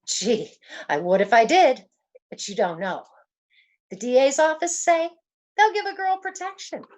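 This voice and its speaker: A woman's voice: high-pitched, expressive, a little slow. A woman playing a frightened young gangster's moll on the run, slangy and pleading, in an old-time radio detective play. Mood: scared, confessing.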